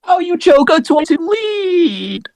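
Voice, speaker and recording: A hoarse young man doing excited post-game commentary, laughing often and breaking into shouts. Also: very high voice, unhurried. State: hoarse, lost voice.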